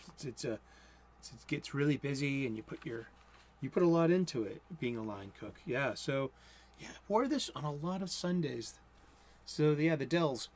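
A man in his forties with a Boston accent, giving a casual spoken sermon from a cluttered home room, rambling and interrupting himself as he talks. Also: mid-range voice. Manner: rambling, self-interrupting, mumbles and speeds up when excited. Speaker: host.

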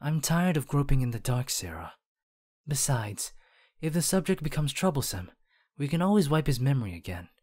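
A man playing a sly, enigmatic manipulator in a comic dub, smooth-talking and deliberate, with faint noise behind. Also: medium pitch, big pitch swings, darkish tone. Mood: pensive, restless. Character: sly, enigmatic long-haired deal-maker, coolly manipulative. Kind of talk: dramatic comic dub acting.

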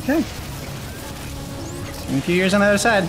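High-pitched man reacting to a game. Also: big pitch swings, fast talker. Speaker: reaction channel host. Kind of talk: casual reaction commentary. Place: living room couch, indoors. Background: game cutscene audio with music.

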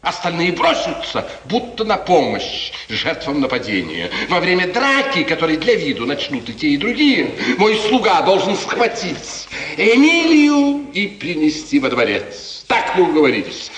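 An actor playing a scheming, fawning court chamberlain in a theatrical, insinuating 1950s radio style. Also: male voice, a little high, sing-song, normal speed, a bit bright. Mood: sly, triumphant. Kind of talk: 1950s radio play acting. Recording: echoey hall, distant, noisy 1950s radio recording.

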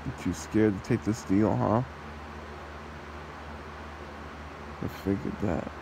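A man voicing a possessive, taunting demon husband in an ASMR roleplay, with a mocking manner and a gravelly voice. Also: fairly low pitch, fairly even intonation, normal speed, background noise. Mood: coaxing, cocky.

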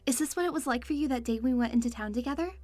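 Woman playing a high-voiced gracious young princess. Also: rapid-fire, dark tone, dry recording. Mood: warm, delighted. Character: young princess, friendly and full of wonder. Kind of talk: audio drama acting.

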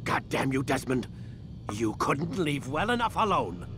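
An actor playing a cold, arrogant older scientist in a video game, condescending and sardonic. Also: male voice, medium pitch, very animated, a little slow, over music. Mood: angry, gloating. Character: cold, arrogant male scientist holding a prisoner. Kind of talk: dramatic video game acting.

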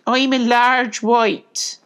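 A man voicing a shy, forlorn young piglet in a children's animal storybook, answering haltingly. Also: extremely high pitch, little pitch movement, fairly bright tone, clear, resonant tone, pitched above the performer's own voice, heavily acted. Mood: matter-of-fact. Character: young orphaned piglet, shy, sad but dignified.

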